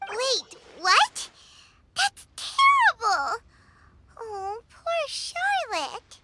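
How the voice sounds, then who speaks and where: A woman voicing a small, excitable childlike female companion in an English anime game dub, squeaky and exuberant. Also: very slow, noisy background, heavily acted. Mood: dismayed. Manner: exuberant, refers to self in third person. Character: small childlike female companion, excitable, food-loving, speaks in third person. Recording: loud background music.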